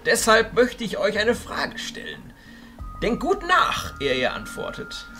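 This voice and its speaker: High-voiced, excitable old wizard chancellor. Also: male voice, unhurried, faint music behind, exaggerated voice. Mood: earnest. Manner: declamatory, emphatic, swinging between hushed and booming. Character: commanding, bearded old wizard archchancellor, resolute and excitable. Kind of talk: fantasy audio drama acting.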